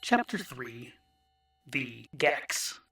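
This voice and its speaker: A man's voice: mid-range voice, big pitch swings, very slow, creaky, sharp tone, soft background music. A man reading scripted comic fantasy narration in a dry, mock-grand storytelling manner. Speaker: narrator and writer-performer.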